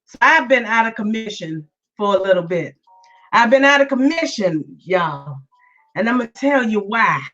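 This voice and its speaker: A woman's voice: a little high, pushed and bright. A woman hosting her own podcast live, chatting casually and repeating herself, her voice still weak from being sick, greeting listeners by name. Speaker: podcast host. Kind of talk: casual live-stream chat. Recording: dull lo-fi sound, a bit far.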